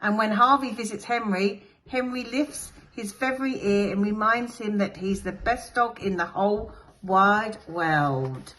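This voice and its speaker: A woman's voice: mid-range voice, unhurried, hardly any pauses, fairly bright tone, poor audio. A woman teacher reading a picture book aloud to young children, telling the story expressively and dramatically. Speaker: infant school teacher.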